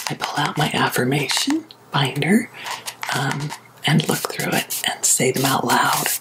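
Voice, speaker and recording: A woman hosting ASMR, chatting casually in a soft, breathy whisper with a gentle, unhurried, confiding manner. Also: rumbling low, very noisy. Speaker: ASMR video host. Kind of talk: ASMR, casual rambling chat. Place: small sewing and craft room at home.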